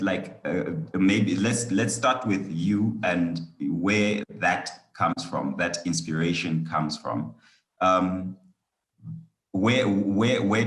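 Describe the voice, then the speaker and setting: A male interviewer asking questions casually, often pausing and restarting his sentences. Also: low voice, a bit bright, reverberant, low-quality recording. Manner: hesitant, lots of "um" and restarts.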